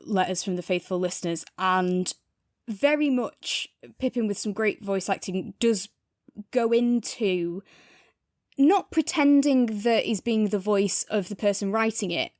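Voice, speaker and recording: A woman's voice: mid-range voice, unhurried, darkish tone, no room sound, clean studio sound. A woman co-hosting a podcast, chatting casually and enthusiastically as she gives a review. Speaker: podcast co-host reviewing audio drama.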